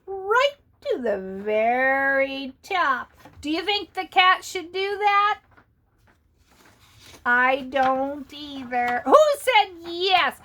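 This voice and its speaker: A woman's voice: high-pitched, animated, extremely slow, bright, forceful tone. A woman reading a picture book aloud to young children, playful and asking her listeners questions.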